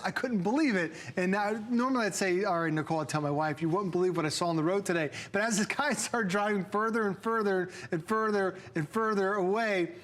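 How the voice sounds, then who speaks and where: A man with a notably high voice presents on a live shopping show. He is enthusiastic and conversational, selling through everyday anecdotes. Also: a bit bright. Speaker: on-air product presenter. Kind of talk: conversational live product demo.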